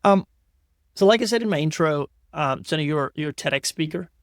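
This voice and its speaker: A man's voice: a little high, animated, fairly quick, no echo, studio quality. A man hosting an interview podcast, talking in a loose, rambling way with repeated words and restarts. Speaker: podcast host. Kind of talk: casual interview chat.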